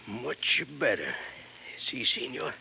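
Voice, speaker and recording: An actor playing an earnest, loyal foreign-born museum guard in a vintage radio drama, speaking simply and haltingly. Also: male voice, mid-range voice, sing-song, creaky, full character voice. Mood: weak, proud. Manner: simple halting speech, proud and dutiful. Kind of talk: 1950s radio drama acting. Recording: tinny, hissy vintage radio recording.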